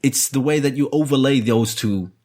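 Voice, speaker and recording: A man co-hosting a casual podcast about board games, explaining ideas in a measured, analytical way with dry wit. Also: medium pitch, darkish tone. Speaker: podcast co-host.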